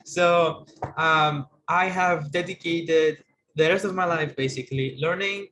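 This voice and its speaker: Young Greek-accented man, non-native English, hesitant, video-call laptop mic, higher-pitched. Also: fairly even intonation, measured pace, pushed and bright, slight background noise, poor audio. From Greece. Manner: hesitant, many fillers and restarts. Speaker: guest. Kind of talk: casual video call conversation. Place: small bright room at home. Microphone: laptop webcam mic on a video call.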